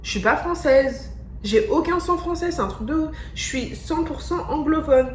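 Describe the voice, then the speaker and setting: A young woman from France reacting in a casual vlog, excitable and slangy, repeating her exclamations. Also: high-pitched, normal speed, slight background noise. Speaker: vlogger. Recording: echoey room with faint music.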